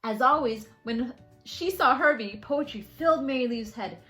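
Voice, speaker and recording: A woman host reading a children's picture book aloud in an animated, expressive storytelling way with drawn-out phrasing. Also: high voice, soft background music.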